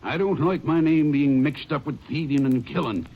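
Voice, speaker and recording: A man playing a folksy, emphatic rancher with a husky voice in a 1950s radio western. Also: on the high side, moderate pace. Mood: affable, indignant. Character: affable, indignant rancher protesting his good name. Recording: dull vintage radio recording.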